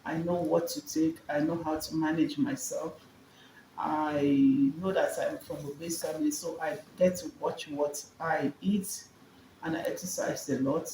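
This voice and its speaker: Mellow Nigerian woman in an echoey room. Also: sits low, fairly even intonation, a little slow. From Lagos, Nigeria. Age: 50s. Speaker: wellness coach and business owner, interview guest. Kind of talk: conversational interview answers, personal account. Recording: echoey room with background noise.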